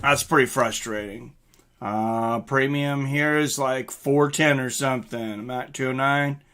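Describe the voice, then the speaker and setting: A man's voice: medium pitch, steady tone, a little slow, fairly bright tone, clear, resonant tone. A middle-aged man hosting a live stream, chatting casually with viewers and commenting on fights in a rambling way. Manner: rambling, reading out and answering chat comments. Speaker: livestream host and fight commentator.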